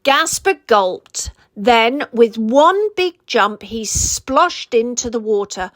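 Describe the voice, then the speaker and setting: A woman reading a children's storybook aloud as an expressive narrator. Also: a little high, slow, dry recording.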